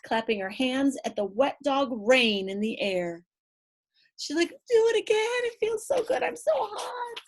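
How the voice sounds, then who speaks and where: A woman storyteller reading a picture book to children, playful and rhythmic, with chatty asides. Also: fairly high pitch. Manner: playful, drawn-out, rhythmic delivery. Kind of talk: animated picture-book read-aloud with asides to young listeners.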